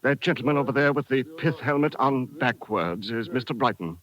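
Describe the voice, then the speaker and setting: A man acting a courteous shopkeeper's clerk in a vintage radio play. His medium-pitched voice is formal and confiding, that of someone patiently long-suffering. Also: expressive, normal speed, fairly bright tone, highs cut off, natural voice. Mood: confiding, weary.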